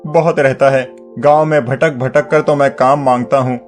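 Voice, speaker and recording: An actor playing a humble, honest poor village carpenter, deferential and pleading, in a dramatized moral story. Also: male voice, mid-range voice, fairly even intonation, normal speed, soft background music, close to an everyday voice.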